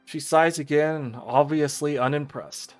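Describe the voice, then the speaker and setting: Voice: mid-range voice, fairly even intonation, a little slow. Streamer narrating a visual novel over music. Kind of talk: narration with casual asides.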